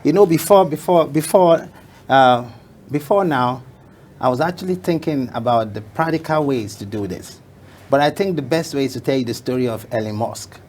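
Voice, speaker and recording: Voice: medium pitch, animated, moderate pace, darkish tone. A talk show guest telling an emphatic story, often repeating phrases for effect. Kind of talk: motivational talk show conversation.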